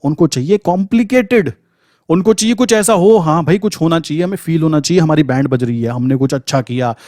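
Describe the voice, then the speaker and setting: A man's voice: fairly high pitch, brisk, darkish tone, no room sound, clean studio sound. A man with an Indian accent giving an informal motivational trading lesson, speaking emphatically and colloquially with repeated phrases and rhetorical questions. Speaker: trading coach and channel host.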